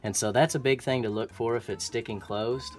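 A man with an Oklahoma accent, an HVAC technician explaining furnace repair in a relaxed, practical way, with frequent ums and restarts. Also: medium pitch, a little fast, faint music behind. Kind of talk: instructional how-to explanation. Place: indoor utility closet by a furnace.

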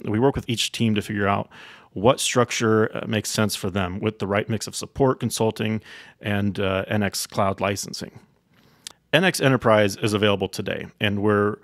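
Male presenter, thirties, low voice, dry close-mic sound, tech keynote delivery. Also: no echo. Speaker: company co-founder giving keynote. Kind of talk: conference product presentation. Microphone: microphone close in front of mouth.

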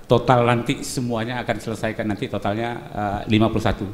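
Halting middle-aged man, roomy hall. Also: lowish voice, level tone. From Pesisir Selatan, West Sumatra. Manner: hesitant, many filler pauses, explanatory. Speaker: hotel director guest. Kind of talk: studio talk show interview. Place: television studio set. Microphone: handheld mic. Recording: roomy studio with long reverb, faint noise.

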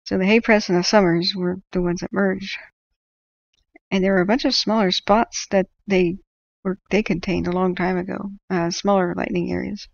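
Chatty woman with a creaky voice. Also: medium pitch, level tone, moderate pace, no echo, muffled recording. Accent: American. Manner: chatty, self-correcting, interjects small reactions. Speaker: livestream host tracking wildfires. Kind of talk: informal livestream reading of official updates with side comments.

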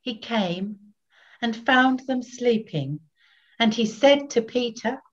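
An older woman narrating scripture from a script in a measured way, pausing between phrases, recorded at home on a webcam. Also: on the high side, animated, muffled recording. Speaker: narrator, Evangelist reader. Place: indoors in a home room.

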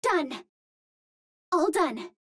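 Very high-pitched cute young space heroine. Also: female voice, very animated, extremely slow, a bit bright, dry recording, studio quality, exaggerated voice. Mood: calm, pleased. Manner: precise, formal phrasing without contractions, earnest and polite. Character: cute, earnest young female Martian space hero. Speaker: voice actor in a hero shooter game. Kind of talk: video game character voice lines.

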